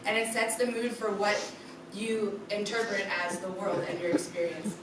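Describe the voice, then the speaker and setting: A woman's voice: medium pitch, level tone, normal speed. A young woman giving a rehearsed student project presentation straight to a live audience. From USA. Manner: clear rehearsed delivery, speaks straight to the audience. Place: darkened presentation room with an audience. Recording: echoey room from far off, background noise.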